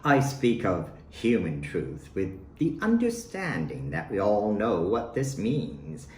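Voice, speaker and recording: A man reading his own poems aloud in deliberate, short declarative phrases with pauses between them. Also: fairly low pitch, huge pitch swings. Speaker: poet reading own work. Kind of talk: spoken poetry reading. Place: at home in a residence. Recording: roomy home recording with faint noise.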